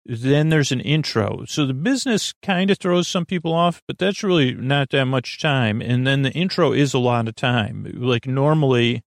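A man with a medium-pitched, creaky voice hosts a sleep podcast. He rambles without a script, halting, repeating words and drifting away from his point. The recording is dry, with very little room sound. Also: animated, dry recording. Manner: meandering, halting, repeats and trails off mid-thought. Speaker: podcast host.

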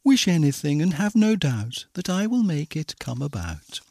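A man's voice: on the high side, big pitch swings, moderate pace, mellow, dry recording. A man voicing a kindly, grand fairy godmother in a declamatory storybook manner. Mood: grand generosity.

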